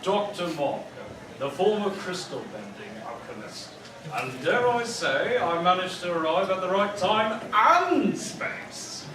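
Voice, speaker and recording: A proud doctor alchemist acted by a man declaims in a live parody skit. The voice is high for a man with big swings in pitch, picked up from far across a noisy room. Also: very animated, a little slow. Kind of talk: live fandub comedy skit. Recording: distant, roomy, noisy convention panel room.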